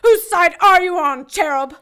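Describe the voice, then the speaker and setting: A woman's voice: very high-pitched, normal speed, pushed and bright. A woman playing a proud archangel warrior in an audio drama, defiant, sardonic and commanding. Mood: scornful, defiant.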